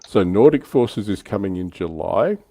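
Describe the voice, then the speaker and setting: A man's voice: lowish voice, lively intonation, normal speed, warm tone, no room sound. A man hosting a livestream, chatting informally through a casual hobby discussion. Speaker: livestream host.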